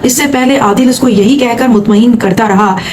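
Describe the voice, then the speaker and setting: A woman's voice: fairly high pitch, moderate pace, a little mellow, slight background noise. A woman from Saudi Arabia hosting a vlog, giving commentary and retelling someone else's account. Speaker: vlog host.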